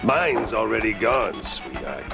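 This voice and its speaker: An actor playing a world-weary, wisecracking mouse private detective in a noir game, gruff and gravelly, muttering sardonic asides in street slang. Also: male voice, on the low side, big pitch swings, slow, heavily acted. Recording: muffled band-limited game audio under loud music.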